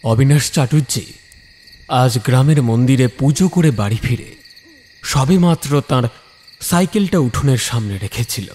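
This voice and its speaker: A man's voice: mid-range voice, normal speed, pauses often, darkish tone. A man narrating a scripted ghost story dramatically, building suspense phrase by phrase.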